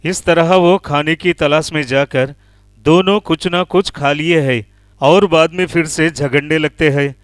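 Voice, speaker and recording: A man's voice: a little high, steady tone, measured pace, clean tone, dry recording, studio quality, faint music behind. A man narrating a scripted children's animal story.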